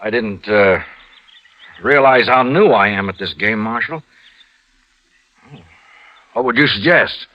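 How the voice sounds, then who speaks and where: An American actor playing an earnest, naive cavalry captain in an old-time radio western, speaking in a hesitant, halting way. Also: male voice, on the low side, close to an everyday voice. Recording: dull vintage radio recording.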